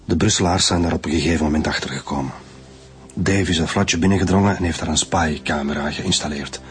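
A bitter, articulate Flemish murderer making his confession in a radio play. His voice is very low, with music playing behind it. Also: fast, husky, heavily acted. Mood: bitter, candid. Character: male guest lecturer turned murderer, confessing, articulate and bitter. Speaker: actor. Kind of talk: radio drama acting.

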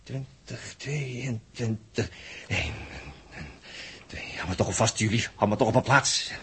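An actor playing a gruff, jocular spaceship crewman who banters and gives orders in a 1960s radio drama. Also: lowish voice, unhurried, a bit bright, exaggerated voice. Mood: urgent. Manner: bantering, commanding. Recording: hissy 1960s radio recording.

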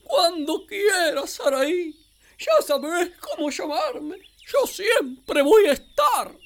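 High, wildly animated capybara. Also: female voice, exaggerated voice. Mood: affectionate. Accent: Argentine. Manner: animated, drawn-out, giggly. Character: friendly excitable capybara, animal guide to a little girl. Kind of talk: children's radio theater.

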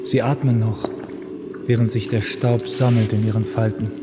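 An actor playing a brooding man who muses in a solemn, hushed, lingering radio play monologue. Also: lowish voice, level tone, moderate pace, close to an everyday voice. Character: brooding man musing over sleeping humanity. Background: music.

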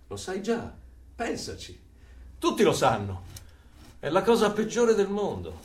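An actor playing a cold, sadistic torturer of a totalitarian regime, delivering deliberate, pompous, menacing theatrical speeches. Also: male voice, high-pitched, wildly swooping pitch, darkish tone, heavily acted. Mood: calm, sadistic. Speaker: stage actor. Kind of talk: theatrical dystopian monologue. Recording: roomy, distant, noisy stage recording.

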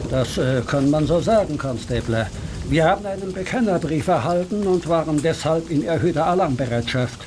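An actor in a German radio play as a composed Victorian police inspector, polite and slightly hesitant. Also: male voice, fairly high pitch, lively intonation, moderate pace, darkish tone, slight background noise, close to an everyday voice. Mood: composed, official.

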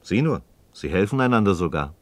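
An actor playing a sceptical, curious friend in a philosophical radio play, reflective and expressive, wary and questioning. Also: male voice, sits low, a little fast, no echo. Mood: contemplative, wondering. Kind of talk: German radio play dialogue acting.

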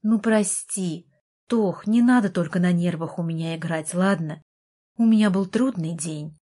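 A woman's voice: on the low side, unhurried, dark tone. Audiobook role: weary young woman caring for a younger brother, apologetic, pleading, insistent, read by a female narrator.

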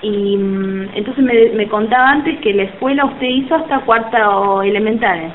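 A woman's voice: mid-range voice, moderate pace, phone-quality sound, a little hiss or hum. A woman interviewing an older man for an oral history, mostly giving short affirming replies. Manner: brief supportive backchannels.

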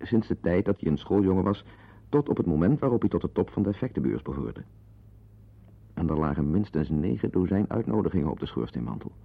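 An actor playing a bitter, neurotic, hectoring husband in a radio play, digressive and sardonic, prone to outbursts. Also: male voice, low-pitched, big pitch swings, a little fast, vocal fry, husky, full character voice. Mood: wry storytelling. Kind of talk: radio play monologue and dialogue acting. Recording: muffled lo-fi old radio play tape.

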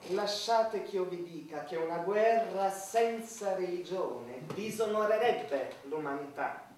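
Unctuous cleric, radio satire: preachy, sanctimonious delivery, heard from off mic in a big echo. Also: male voice, high voice, animated, slow, darkish tone. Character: unctuous, sermonizing male churchman at war council. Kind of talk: satirical radio theatre acting. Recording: very echoey, distant, faint noise.

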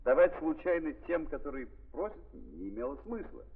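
Male narrator for a 1930s audio play: emphatic, declamatory, highly animated intonation, echoing archive sound. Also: normal speed, gravelly. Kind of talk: theatrical 1930s literary narration. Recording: muffled, reverberant 1930s archive recording.

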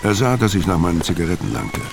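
A man's voice: low-pitched, big pitch swings, fast talker, vocal fry, noisy background, full character voice. An actor in a radio crime drama playing a laconic, brooding, world-weary middle-aged tough guy. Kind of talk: first-person narration in radio crime drama.

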